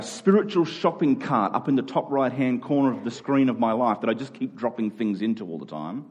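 A man's voice: medium pitch, a little mellow, highs cut off. A man preaching a conversational sermon, earnest, repeating key phrases for emphasis.

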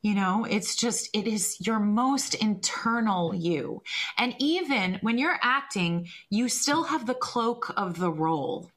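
Woman guest, singing teacher, bright and forceful tone, emphatic and opinionated. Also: medium pitch, lively intonation, slow pace. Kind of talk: conversational interview.

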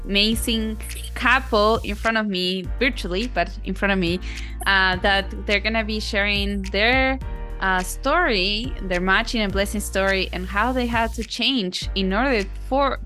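A woman's voice: mid-range voice, normal speed, faint music behind. A woman hosting a podcast, welcoming guests and asking questions in an upbeat way. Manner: upbeat and warm, with some fillers. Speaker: podcast host.

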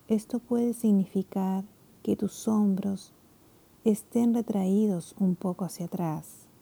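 Meditation guide, female: unhurried, very dark and mellow tone with some gravel at the phrase ends. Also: mid-range voice. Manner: soft, slow and soothing, trailing into whispers.

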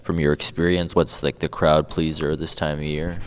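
A man's voice: a bass voice, level tone, normal speed, clear, resonant tone. A man hosting a radio arts show, putting questions and prompts to an interviewee.

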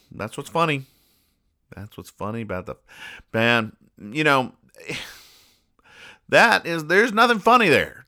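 A man hosting a podcast, describing comic strips in a halting, deadpan, mock-exasperated way. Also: on the low side, clean tone. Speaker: podcast host. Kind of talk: comic commentary reading newspaper comics aloud.

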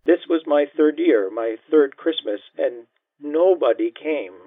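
A man's voice: a little high, expressive, a little slow, dry recording, narrow, tinny audio, voice pushed up. A man voicing a lonely elderly woman in a nursing home, her voice quavering and tearful. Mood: sad, tearful.

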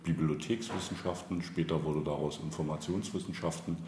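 Guy in his 40s being interviewed, really mellow voice, thinks as he talks, bit of background noise. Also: very deep voice, fairly even intonation, brisk. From Brandenburg, East Germany. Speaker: interview guest, local politician. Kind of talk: conversational interview answers. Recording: roomy echo, faint background noise.

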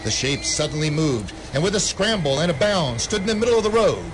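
A man's voice: a little high, very animated, brisk, background noise. A man narrating a radio play in a theatrical way, building tension through the telling. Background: music.

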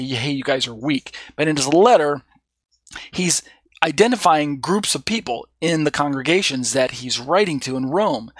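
A man's voice: on the high side, fairly bright tone, no room sound. A middle-aged man teaching an informal Bible study lesson, stumbling over words with plenty of fillers and apologizing for his own mistakes. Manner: self-deprecating, stumbling over Greek readings, frequent fillers.

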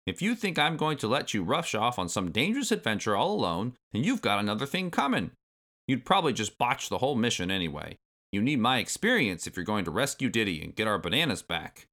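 Storybook role: man performs rough, scolding old granddad ape, bright and forceful, lifted pitch. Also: very animated, a little fast, exaggerated voice. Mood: grumbling. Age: older. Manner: grumbling, exclamatory old-timer phrasing.

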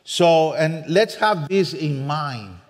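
A man from Costa Rica with a Costa Rican Spanish accent, a native Spanish speaker, preaching as a guest with earnest, self-deprecating warmth and frequent restarts. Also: fairly high pitch, animated, takes it slowly. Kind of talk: sermon. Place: church sanctuary. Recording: long church reverb.

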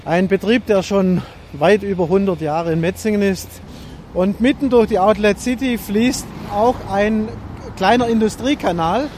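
A man speaks as a town official, explaining things in a matter-of-fact way while on a walking tour outdoors. His voice is mellow and a little higher than usual for a man. Faint traffic noise sits behind him. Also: moderate pace.

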